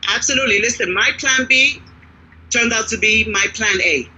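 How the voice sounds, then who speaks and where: A woman's voice: a little high, moderate pace, bright, forceful tone, slightly rough, muffled recording. A woman financial advisor as a guest on a video call, talking in an upbeat, persuasive way and often checking in with "right?". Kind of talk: enthusiastic casual pitch on a live video chat.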